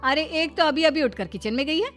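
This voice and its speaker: A woman's voice: sits high, fairly quick, a bit bright, faint music behind, pitched above the performer's own voice. A woman voicing a bossy, spiteful sister-in-law in a cartoon story, scolding and nagging with sarcasm. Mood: aggrieved complaining. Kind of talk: cartoon story character acting.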